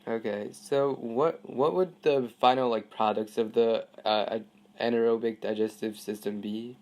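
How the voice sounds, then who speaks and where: A man's voice: mid-range voice, fairly even intonation, unhurried, darkish tone. A teenage male student conducting an informal phone interview, polite and a little hesitant. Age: teens. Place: phone call.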